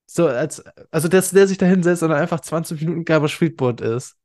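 A man's voice: on the high side, quick pace, darkish tone. A man hosting a podcast in casual chat, talking enthusiastically in colloquial, youthful slang. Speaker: podcast host.

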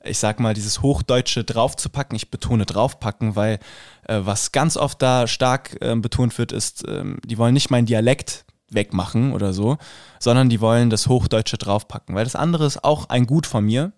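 A young man with a light Hessian accent talks in an easy, unhurried way, often laughing and pausing on little fillers. His voice sits at an ordinary middle pitch. The recording is close and dry, with no room sound. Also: dry recording. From Rüsselsheim, Germany. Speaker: guest, acting student. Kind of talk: casual interview chat.

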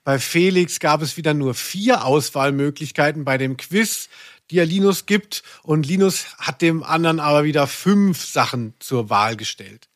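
A man's voice: a little high, moderate pace, a bit bright, dry recording, clean studio sound. A man hosting a chatty podcast and running a quiz in a playful, tongue-in-cheek way. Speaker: podcast host and quizmaster. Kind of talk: casual chatty podcast talk.

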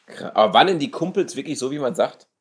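A man's voice: medium pitch, expressive, fast talker. A man from the Ruhr area interviewing a guest in casual conversation, slangy and agreeable, with lots of fillers. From Ruhr area, Germany.

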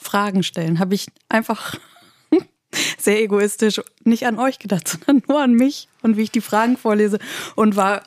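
A woman's voice: mid-range voice, normal speed, no room sound, studio quality. A woman guest moderating a podcast, speaking playfully and teasingly. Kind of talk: casual podcast chat.

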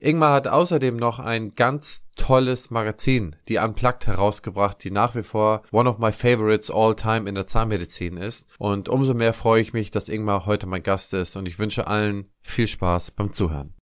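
A man's voice: lowish voice, steady tone, moderate pace, few pauses, smooth, dry recording, clean studio sound. A man hosting a podcast interview, talking in an enthusiastic, conversational way with some filler sounds. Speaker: podcast host.

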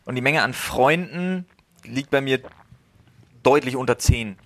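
A man's voice: mid-range voice, unhurried. Reflective man rambling with fillers. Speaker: podcast host. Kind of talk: casual chat.

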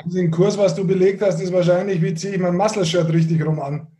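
A man's voice: high-pitched, fairly even intonation, fast, dark tone, slight background noise. A man hosting a sports podcast, chatting casually with a teasing, sarcastic manner. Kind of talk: casual bantering podcast chat.

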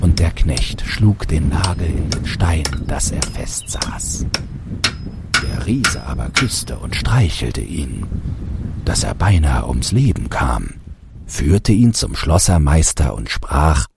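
A man reading a fairy tale aloud as an expressive storybook narrator. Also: rumbling low, slow, gravelly, heavy background noise.

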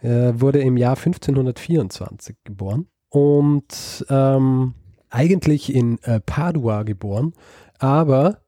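Man, casual storyteller, very dark mellow voice, halting delivery, dry close sound. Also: mid-range voice, no room sound. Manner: hesitant, many ums and restarts. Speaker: podcast host telling a story.

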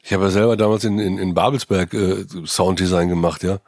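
A man's voice: low voice, steady tone, a little fast, a little mellow, dry recording, studio quality. A man co-hosting a podcast, giving casual, opinionated comments and repeating words for emphasis. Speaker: podcast co-host with sound design background.

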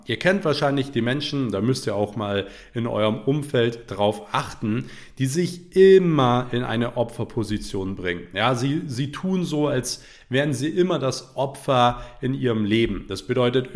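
A man's voice: mid-range voice, lively intonation, a little slow, hardly any pauses. Earnest man with a cold, motivational talk. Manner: earnest, repetitive, frequent "ja" fillers. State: has a cold. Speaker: podcast host. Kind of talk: motivational podcast monologue.

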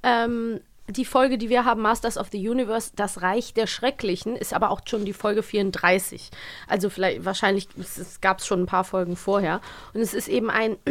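A woman's voice: medium pitch, moderate pace, dry recording. A woman chatting casually and playfully as a podcast co-host. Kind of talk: casual chat about audio dramas.

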